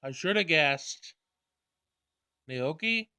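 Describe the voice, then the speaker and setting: A man's voice: fairly high pitch, expressive, extremely slow, pushed and bright, clean tone, no echo. A man doing a let's play of a visual novel, reading dialogue aloud with casual commentary and stumbling over words as he goes. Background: game music.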